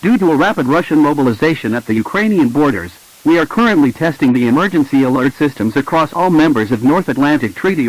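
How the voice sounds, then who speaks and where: Higher-pitched man reading formal emergency alerts. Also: rough recording. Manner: formal, impersonal delivery. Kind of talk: scripted emergency broadcast announcement.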